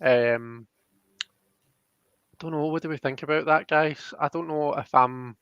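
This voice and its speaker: A man giving a casual live card reading as host, thinking aloud in a halting way, on slightly dull audio. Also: fairly high pitch, fairly bright tone, clean tone, dry recording. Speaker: host and tarot card reader.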